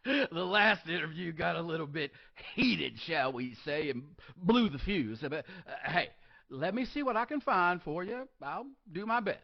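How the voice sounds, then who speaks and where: A man at a live table read playing a helpful, deferential janitor who speaks haltingly and politely. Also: fairly high pitch, lively intonation, gravelly, highs cut off. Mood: apologetic, helpful.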